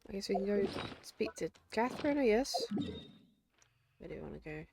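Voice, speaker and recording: A woman's voice: medium pitch, animated, a little fast, gravelly. A woman livestreaming a game, chatting casually and talking to herself as she plays. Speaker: game streamer. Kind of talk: casual livestream gameplay commentary. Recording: game music behind the voice. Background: game music.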